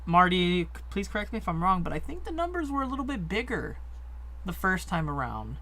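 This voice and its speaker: Man, bright tense voice, somewhat high, chatty, casual gaming podcast talk. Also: fairly quick. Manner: chatty, lively, lots of likes and ums. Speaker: co-host.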